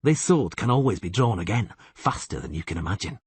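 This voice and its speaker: A man voicing a calm, unflinching monster hunter with a terse, dry manner in a fantasy audiobook. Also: lowish voice, a little fast, breathy edge, no echo, exaggerated voice. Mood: calm, guarded. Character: calm, unflinching male monster-hunting swordsman, laconic and dry.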